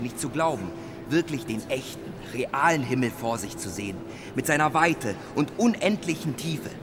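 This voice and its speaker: An actor in a radio drama playing a pompous, smugly intrusive church tour guide with a declamatory, lecturing manner. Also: male voice, a little high. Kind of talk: dramatic German radio play acting. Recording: clear background noise, busy ambience.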